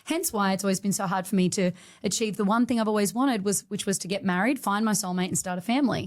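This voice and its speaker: A woman's voice: mid-range voice, fast. A woman, a studio owner telling her story with feeling in a podcast interview. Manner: emotional, emphatic storytelling.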